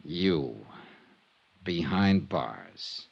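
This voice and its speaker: An American actor playing a hard-boiled, sardonic insurance investigator in 1950s radio drama, with clipped lines and dramatic pauses. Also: male voice, a bass voice, lively intonation, gravelly, breathy edge, highs cut off, exaggerated voice. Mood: hard, menacing. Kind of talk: 1950s radio detective drama acting and narration. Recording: vintage radio recording with music.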